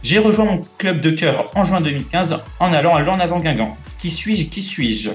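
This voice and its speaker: A man's voice: fairly high pitch, lively intonation, normal speed, reverberant, soft background music. A man co-hosting a fan live stream, reading out quiz clues in first person, then chatting casually. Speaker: co-host running a quiz.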